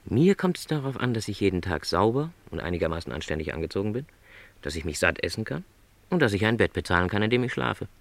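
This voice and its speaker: A man's voice: fairly low pitch, sing-song, fast talker, dry recording. An actor playing a lonely, contented travelling salesman who is no longer young, speaking modestly and politely in a radio play. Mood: pensive, resigned. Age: middle-aged.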